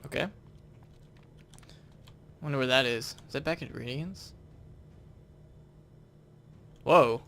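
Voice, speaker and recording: Male gaming host, mid-pitched, casual thinking-aloud commentary, faint noise with keyboard clatter. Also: a little slow, vocal fry, a bit bright.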